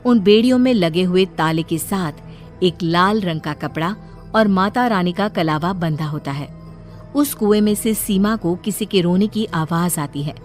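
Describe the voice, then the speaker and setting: A woman's voice: on the low side, measured pace, faint music behind. A woman reading a scripted horror story as its narrator.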